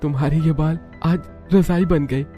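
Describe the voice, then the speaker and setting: A man plays a poor, helpless young village father in a cartoon story. He sounds anguished and apologetic, his pitch raised above his narrating voice, over music. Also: fairly even intonation, normal speed, warm tone, close to an everyday voice. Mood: apologetic, moved.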